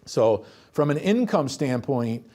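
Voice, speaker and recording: A man's voice: mid-range voice, slow. Man explaining retirement taxes. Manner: steady, explanatory, walks through number examples. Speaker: financial advisor. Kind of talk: explaining retirement tax planning.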